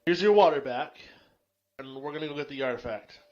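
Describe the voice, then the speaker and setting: A man's voice: fairly high pitch, brisk. Casual man on dull lo-fi audio. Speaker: tabletop game player. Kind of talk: casual chat.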